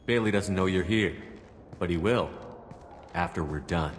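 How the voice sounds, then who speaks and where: Deep-voiced, resolute commander. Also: male voice, measured pace, in a large hall, a little hiss or hum. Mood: pressing. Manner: terse, direct, controlled. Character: steady, resolute male military commander, firm but fair. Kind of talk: video game voice acting.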